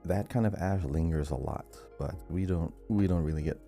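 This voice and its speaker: A man's voice: sits low, moderate pace, creaky, mellow, faint music behind. A man from Hawaii co-hosting a podcast in casual, conversational talk. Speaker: podcast co-host.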